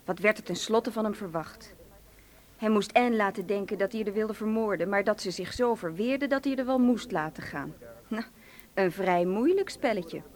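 A woman acting a cool female conspirator in a radio drama. Her voice sits at a middle pitch, and she reasons things out with analytical self-assurance. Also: normal speed, natural voice. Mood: coolly satisfied.

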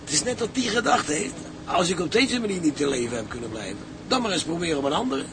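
An actor playing a gruff, fatherly ship's engineer with salty sailor talk in a radio drama. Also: on the high side, big pitch swings, quick pace. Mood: wry. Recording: noisy outdoor drama scene.

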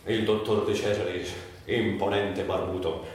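An actor playing a man who recounts his childhood in vivid, excitable, theatrical literary narration. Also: low voice, unhurried. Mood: dreamy reminiscence. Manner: expressive, dramatic storytelling. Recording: echoey, distant, faint background noise.

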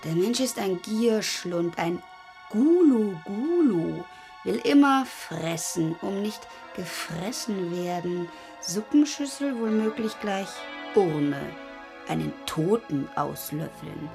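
A woman acting a defiant grown daughter with bitter irony and a deliberate, literary delivery in a radio drama. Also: medium pitch, animated, vocal fry, over music. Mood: musing, sardonic.

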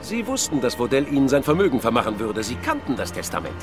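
A man's voice: mid-range voice, wildly swooping pitch, quick pace, over music. An actor playing a sarcastic, suspicious policeman in a radio drama, accusing and needling. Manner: sardonic, accusing.

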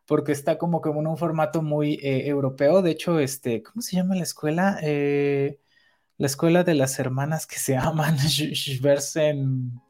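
Low-voiced chilanga woman chatting. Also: darkish tone. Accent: Mexico City Spanish. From Mexico City. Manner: chatty, laughs often, trails into asides. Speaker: podcast co-host. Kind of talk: casual chat.

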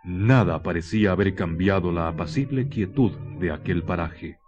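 Male radio drama narrator, lowish voice, mellow, slow and solemn over soft music. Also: muffled recording. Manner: solemn, deliberate.

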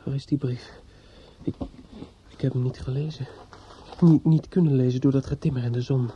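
An actor in a radio play playing a distraught, bitter young man in grief, speaking haltingly with stammers and repetitions. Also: mid-range voice, fairly even intonation, mellow, a little hiss or hum, natural voice. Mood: obsessive, unsteady. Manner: halting, stammering, repeats himself. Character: distraught, bitter young bridegroom-to-be, grieving and obsessive. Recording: dull lo-fi radio play recording.

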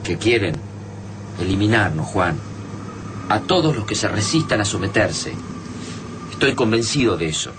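An actor with an Argentine accent playing a wary, pessimistic, sober resistance survivor in a radio drama. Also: male voice, on the low side, expressive, normal speed, long pauses, noisy background, close to an everyday voice. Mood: firm, wary.

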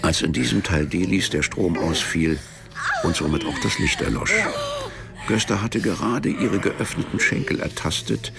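A man narrating a literary radio drama in a measured, deadpan manner. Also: deep voice, creaky. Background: music behind the voice.

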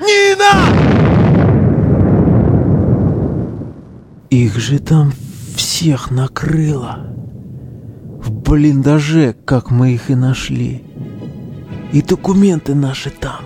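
A man playing an excitable, cheeky young relic hunter in a wartime audio drama, slangy and agitated. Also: medium pitch, slow pace, pauses often, music in the background. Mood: shocked. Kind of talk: Russian audio drama acting.

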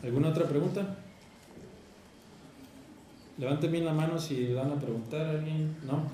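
A man's voice: a little high, steady tone, dark tone, slight background noise. A man moderating audience questions in a large auditorium, calling on people and repeating their questions. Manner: brisk, calls on askers, repeats questions. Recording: echoey auditorium.

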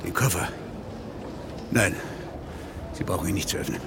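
An actor playing a nervous, evasive smuggler's partner with a gravelly, sharp voice in a radio crime drama. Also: male voice, low voice, expressive, normal speed, background noise. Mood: nervous, protesting.

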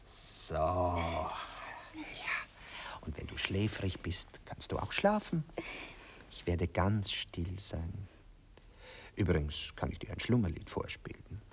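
An actor playing a tender, vain, dreamy poet in a radio drama, speaking in an affected, effusive, seductive manner. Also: male voice, low-pitched, slow, pauses often, background noise. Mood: dreamy, self-satisfied. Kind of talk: 1960s German radio drama acting.

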